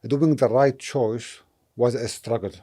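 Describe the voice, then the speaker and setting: A middle-aged man from Lebanon, a property investor, answering interview questions thoughtfully with many pauses and fillers. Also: mid-range voice, fairly even intonation, mellow. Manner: thoughtful, hesitant with frequent fillers.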